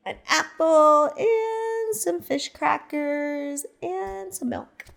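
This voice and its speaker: A woman's voice: high-pitched, creaky, background noise. Teacher lady reading a kids book slooowly, asks little questions as she goes. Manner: slow, expressive storytelling that asks the listener questions. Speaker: teacher librarian. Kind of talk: reading a picture book aloud to children.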